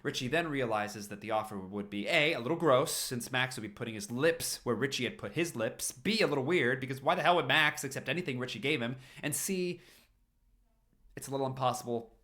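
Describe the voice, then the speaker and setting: A man's voice: mid-range voice, brisk, sharp tone. A man reading a story aloud expressively on a stream, now and then tripping over a phrase and repeating it. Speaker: fan fiction reader on a livestream.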